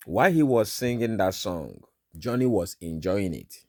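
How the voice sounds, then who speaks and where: A man's voice: on the low side, animated, moderate pace, no room sound, studio quality. A Nigerian man whose native tongue is Igbo, sharing a dream in an informal spoken sermon and dotting his talk with "you know" and "all right".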